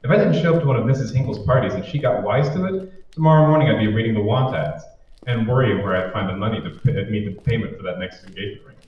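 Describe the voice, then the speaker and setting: Low, echoey man as sardonic radio-play boyfriend. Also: fast talker, close to an everyday voice. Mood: sardonic, grumbling. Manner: sardonic, grumbling. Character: wisecracking, reluctant boyfriend griping about his boss's parties. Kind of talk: old-time radio drama acting. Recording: muffled, very echoey, distant, faint noise.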